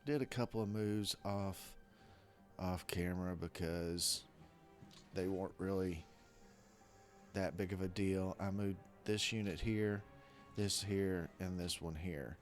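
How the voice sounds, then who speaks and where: A man with a hoarse, rough voice from a weekend of coughing, talking casually and haltingly through a strategy game. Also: sits low, steady tone, soft background music. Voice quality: rough-sounding voice. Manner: rambling, hesitant, many restarts. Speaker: strategy game video creator. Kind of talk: casual gameplay commentary.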